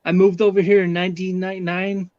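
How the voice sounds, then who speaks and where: A man who grew up in Wales, calling in by phone to a fan stream, chatting in a friendly, halting way and repeating his words. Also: sits high, flat delivery, dry recording, lo-fi sound. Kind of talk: casual chat.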